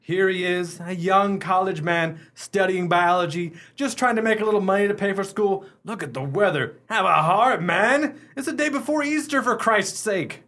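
A man's voice: high voice, normal speed, few pauses, bright, forceful tone. A man playing an effusive, cajoling ice cream salesman in a radio play. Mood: pleading. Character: relentlessly upbeat, wheedling veteran ice cream salesman.

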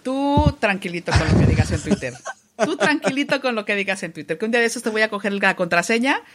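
A woman's voice: mid-range voice, lively intonation, quick pace, a little hiss or hum. A woman with a Mexican Spanish accent, a radio host chatting as a podcast guest in a playful, teasing way. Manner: playful, teasing, laughs often. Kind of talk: casual podcast chat.

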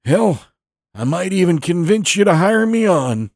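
A man voicing a genial, boastful Navy veteran in his eighties for an audio storybook, folksy and orating, with drawn-out emphatic phrases. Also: high-pitched, sing-song, a little mellow, no room sound, studio quality, pitched above the performer's own voice, full character voice. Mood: genial, pleading. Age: 80s.

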